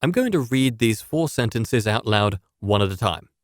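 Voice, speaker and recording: A man presenting a scripted public speaking lesson as a coach, speaking deliberately and clearly with emphasis on key words. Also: medium pitch, lively intonation, no room sound, clean studio sound. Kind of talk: scripted instructional narration.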